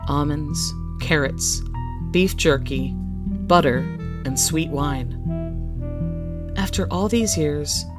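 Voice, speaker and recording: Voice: mid-range voice, drawn-out words, pauses often. A storyteller reading a scripted first-person short story as narration. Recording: background music under the voice.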